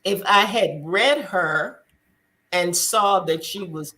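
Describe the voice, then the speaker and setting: A woman's voice: fairly low pitch, slow pace, bright, forceful tone. A woman hosting a faith-based discussion show, speaking earnestly and repeating her points for emphasis. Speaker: talk show host.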